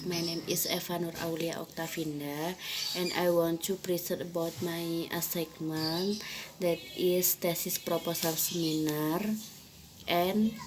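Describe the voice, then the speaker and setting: Slow, halting young woman presenting. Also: on the low side, noisy background. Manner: hesitant, halting non-native English with frequent "okay" fillers. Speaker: student presenter. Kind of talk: academic presentation.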